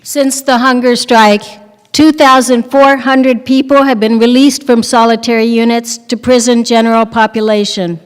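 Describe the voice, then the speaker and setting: An older woman doing a solemn, deliberate scripted reading from a lectern. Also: fairly high pitch, fairly even intonation, clear, resonant tone. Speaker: reader in readers theater. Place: large temple hall, at lectern. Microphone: microphone on stand. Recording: echoey hall.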